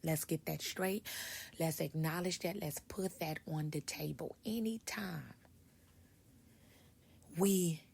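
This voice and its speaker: Hoarse woman speaker, husky and low, emphatic motivational delivery. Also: takes it slowly, long pauses, a little mellow. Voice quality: raspy, husky. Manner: emphatic, repeats key points. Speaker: leadership author and speaker. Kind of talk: casual motivational talk to viewers.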